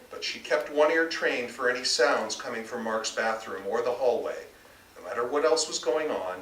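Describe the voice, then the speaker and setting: A man's voice: medium pitch, a bit bright. A man reading his own literary fiction aloud at a live author event. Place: bookstore reading. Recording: roomy, distant mic, faint background noise.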